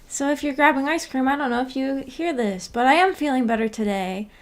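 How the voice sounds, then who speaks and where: A woman's voice: high voice, a little fast. A woman hosting a livestream, chatting casually with viewers in an informal, hesitant, giggly way. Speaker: livestream host.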